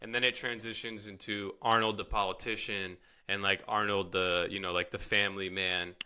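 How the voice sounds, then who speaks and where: A man's voice: lowish voice, flat delivery, normal speed, bright, forceful tone, clear, resonant tone. A young man co-hosting a podcast, easygoing and agreeable in casual chat. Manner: easygoing, agreeable, laughs along. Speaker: podcast co-host.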